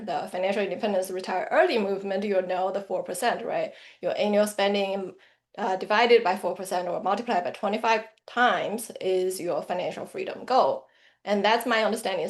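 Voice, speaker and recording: A woman in her thirties from central China, speaking English with a Chinese accent, answering interview questions in an earnest, reflective way. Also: medium pitch. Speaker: financial planner, guest.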